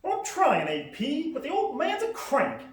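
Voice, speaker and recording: A woman's voice: high-pitched, huge pitch swings, normal speed. A woman playing a pushy, irritable male businessman in a live radio play, blustering and bossy. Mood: exasperated. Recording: echoey hall, distant microphone.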